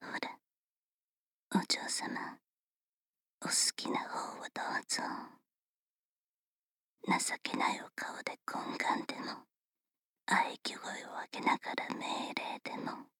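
A woman voicing a polite, teasing female servant who attends a young lady, speaking in formal, unhurried whispers for an ASMR roleplay. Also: bright, forceful tone, no room sound, clean studio sound.

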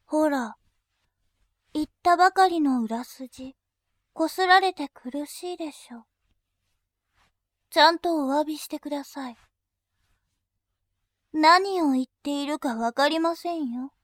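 A woman playing a dominating female bank clerk, high voice, unhurried, formal and deliberate, dry close sound. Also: no room sound. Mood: polite teasing. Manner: very formal polite speech, slow and deliberate. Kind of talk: adult audio drama acting.

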